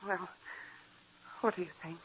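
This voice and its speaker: An actress playing a worried wife in 1940s radio acting style, pleading and fretful. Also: female voice, on the low side, steady tone, unhurried, a bit bright, slight background noise, rough recording. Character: anxious, worried young wife.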